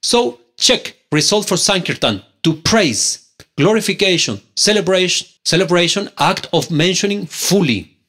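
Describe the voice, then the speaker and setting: A man's voice: high voice, animated, measured pace, pushed and bright. A man in his thirties with a Spanish accent, a native Spanish speaker teaching scripture online, speaking emphatically and indignantly with repeated rhetorical questions into a close microphone. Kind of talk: online scripture study, argumentative. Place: indoor room, screen-share with webcam. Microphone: pink handheld mic on stand, close.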